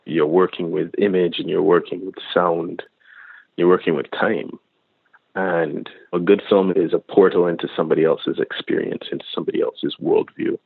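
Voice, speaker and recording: A very deep-voiced man from Barbados talks over a thin, tinny phone line. He speaks as a filmmaker guest, measured and reflective, sometimes picking up speed, with a dry sound and no room behind him. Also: level tone, vocal fry, slightly rough, dry recording. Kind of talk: reflective interview answers.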